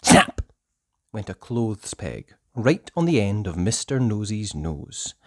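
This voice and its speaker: A storyteller reading a children's book aloud in a theatrical, deliberate way, with emphatic words and hushed passages. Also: mid-range voice, big pitch swings, no room sound, studio quality. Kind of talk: expressive children's story narration.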